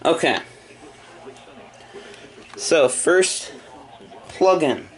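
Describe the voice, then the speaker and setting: Slow-talking man, casual unboxing. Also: a little high, expressive. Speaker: hobbyist video maker. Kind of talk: casual unboxing and setup narration.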